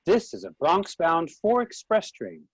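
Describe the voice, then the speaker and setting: Subway announcer acted by a stage reader, husky and animated, dry close sound, calling stops. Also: medium pitch, a little slow, no echo, full character voice. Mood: announcing. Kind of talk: transit announcement in play reading.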